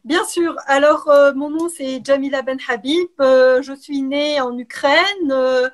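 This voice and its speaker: A middle-aged woman from Algeria answering interview questions in a measured way, with frequent hesitations. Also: high voice. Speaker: interview guest, writer and political scientist.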